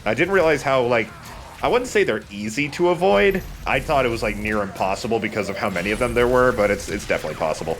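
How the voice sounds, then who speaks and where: Animated man casually commentating on a game. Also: medium pitch, fast, slight background noise. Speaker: let's play host and player.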